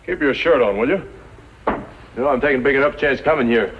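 A man plays a tough, impatient western outlaw. He fires off curt, slangy lines at a quick clip in 1950s radio style, on a dull recording with heavy noise. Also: medium pitch, natural voice. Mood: weary, edgy. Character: wanted Old West outlaw gunman, impatient and surly.

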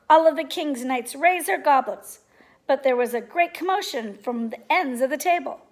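A middle-aged woman reading a children's storybook aloud in an expressive, deliberate way. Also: sits high. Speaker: storybook reader. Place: indoors, living room at home.

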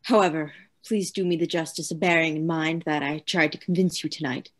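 A woman's voice: low voice, fairly even intonation, brisk. A woman playing a tormented, obsessive asylum lunatic in a radio play, with formal, theatrical diction. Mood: resigned, courteous. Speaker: actor.

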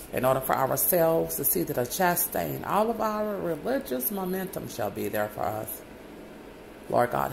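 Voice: medium pitch, gravelly, noisy background. A speaker praying aloud in a long, wandering prayer, calling out "Lord God" again and again between loose phrases. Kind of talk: rambling spoken prayer.

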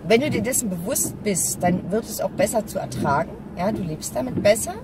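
A woman's voice: mid-range voice, lively intonation, brisk. A middle-aged woman chatting casually in a vlog while driving, explaining things directly to the viewer. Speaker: vlogger. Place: inside a small car, driving. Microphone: dashboard-mounted camera. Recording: distant mic, loud car noise. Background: car and road noise.

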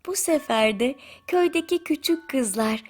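Slow, higher-pitched woman telling bedtime stories. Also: expressive. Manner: unhurried, gentle phrasing with drawn-out pauses. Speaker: bedtime story narrator. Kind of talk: slow, soothing bedtime storytelling. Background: music.

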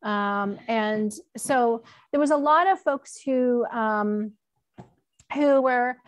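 A woman's voice: a little high, slow pace, dry recording. A woman giving a conversational academic talk over a video call, in an informal and frank way. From Alberta, Canada. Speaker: keynote speaker, law professor. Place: indoor room at home, on a video call. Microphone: computer mic on a video call.

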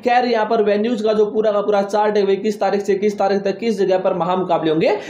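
A young man presents a fast cricket news roundup, gesturing as he talks and stressing points with repeated affirmations. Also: high voice, roomy sound, dull audio. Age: young adult. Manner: animated hand gestures, emphatic repetition of "जी हां". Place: indoor studio with green-screen stadium backdrop.

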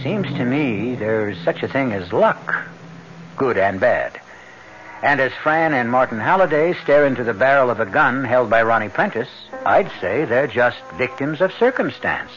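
A muffled vintage radio broadcast with clear music behind a male host. He narrates dramatically and wryly at an average pitch, speaking straight to the listener. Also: animated, moderate pace, a bit bright. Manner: wry, conversational, addresses listener.

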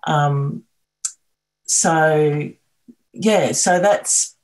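A middle-aged Australian woman, a video artist, talking about her work on a video call, with many pauses, ums and restarts. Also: low voice, low-quality recording. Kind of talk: artist talk on a video call, sharing screen.

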